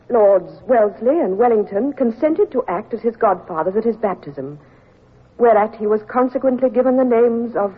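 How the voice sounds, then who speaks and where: Gracious posh british noblewoman, a bit breathy, old radio play. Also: a little high. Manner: gracious and composed. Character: gentle, formal aristocratic English lady. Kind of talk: 1950s radio drama letter reading. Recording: tinny old radio broadcast recording.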